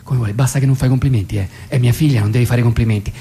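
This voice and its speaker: A man's voice: mid-range voice, fairly even intonation, racing, dark tone, lightly acted. An actor playing an inexperienced new father on the phone in a radio drama, hesitant, eager to please and repeating his phrases. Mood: sheepish, eager. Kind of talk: theatrical radio monologue, staged phone dialogue. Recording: faint hum and background noise.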